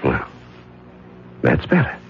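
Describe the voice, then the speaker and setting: A man playing a calm, cold-blooded middle-aged judge who secretly kills, in a 1970s radio drama, smooth and coolly patronizing. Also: slow pace, slight background noise, lightly acted. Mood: soothing, reasoning. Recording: dull old radio broadcast with music.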